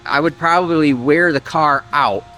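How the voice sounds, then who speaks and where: Guy chatting casually in his engine shop, kinda rambling, thinking out loud, bit of music in the back. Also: on the high side, normal speed, low-quality recording. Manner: rambling, thinking aloud, often trailing off mid-sentence. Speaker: host of an engine-building video channel. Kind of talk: casual shop talk to viewers. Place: engine workshop.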